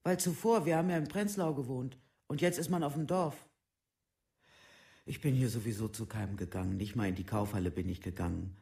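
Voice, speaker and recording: A withdrawn newcomer to a village played by a man talks in a documentary radio play. The voice is middle-pitched. Sentences come short, halting and colloquial. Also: gravelly, a little mellow, natural voice. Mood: subdued, resigned. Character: withdrawn villager, newcomer who never fitted in.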